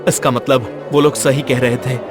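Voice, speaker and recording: A man voicing a brave, determined young prince in an animated fairy tale, earnest and dramatic. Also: medium pitch, normal speed, music in the background, close to an everyday voice. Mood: shaken.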